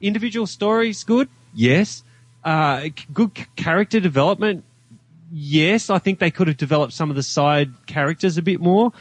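A man's voice: a little high, normal speed, no echo. A man with an Australian accent giving his opinions in a casual film discussion, emphatic and reasoning aloud as he goes. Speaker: podcast guest reviewing a film.

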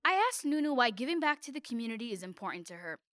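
Woman reading her interview narration, slightly high voice, clear and dry. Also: lively intonation, very fast, a bit bright, dry recording. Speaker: interviewer, correspondent. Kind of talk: scripted narration.

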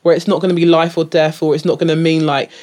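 A woman's voice: sits low, fast. This is a woman talking loosely as a podcast guest. She starts over a lot, fills gaps with ums and likes, and breaks into laughs between thoughts. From England. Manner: animated, full of restarts and fillers, laughs often. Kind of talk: casual interview chat.

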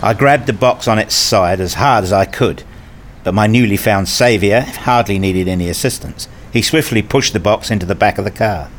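A man's voice: fairly low pitch, moderate pace, a little hiss or hum, natural voice. A man playing a self-deprecating, nerdy father who tells his own story in a radio play in wry, formal wording. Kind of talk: first-person radio play narration.

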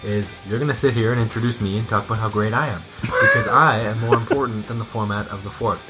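A man's voice: fairly low pitch, huge pitch swings, fast, low-quality recording. Brash man over guitar music. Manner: brash, self-important joking. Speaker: podcast co-host.

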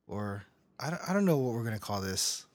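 A man's voice: on the low side, very animated, fairly quick. A man co-hosting a casual chat podcast, rambling and laughing often between his words, recorded on a cheap makeshift mic. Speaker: podcast co-host.

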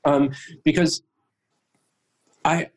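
A man's voice: a little high, flat delivery, extremely slow. A man hosting an interview podcast, casually thinking aloud as he builds his questions.

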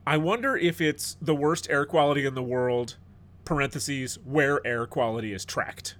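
A man's voice: medium pitch, normal speed, fairly bright tone. A middle-aged man hosting a podcast in casual chat, a bit stuffed up and sniffly, rambling and joking. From United States. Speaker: podcast host.

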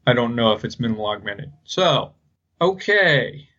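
A man hosts a casual podcast in a medium-pitched voice, on slightly dull, lo-fi audio. He talks haltingly, thinking aloud and feeling his way through each point. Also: very animated, pushed and bright. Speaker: podcast host.

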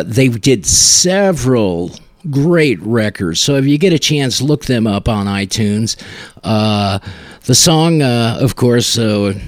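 A man's voice: fairly low pitch, dry recording. Casual man hosting a music podcast, dry sound. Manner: rambling, conversational, searching for words. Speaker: podcast host. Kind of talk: casual music-show commentary between songs.

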